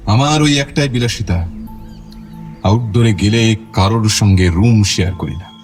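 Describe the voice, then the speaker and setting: A proud ageing-idol type of film star played by a male actor in an audio drama. He speaks in a measured, self-assured way with clipped irritation held in check. Music plays under the voice. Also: on the low side, lightly acted. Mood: curt, irritated. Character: proud veteran film star, a controlled, irritated murder suspect. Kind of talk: Bengali audio drama acting.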